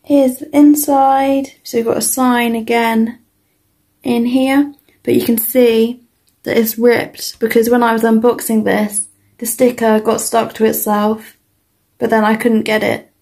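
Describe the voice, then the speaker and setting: A young woman with a British accent giving a casual, informal toy review as an unhurried walkthrough. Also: a little high, little pitch movement, gravelly, darkish tone.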